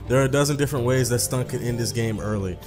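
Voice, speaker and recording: A man's voice: medium pitch, fast. A young man hosting a gaming video, reading a visual novel aloud in an informal, profane, conversational way. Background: music.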